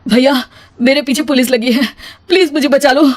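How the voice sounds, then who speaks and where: A woman's voice: high voice, fairly even intonation, normal speed, voice pushed up, natural voice. A woman voicing a distressed, pleading younger brother in a melodramatic cartoon story. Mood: panicked pleading.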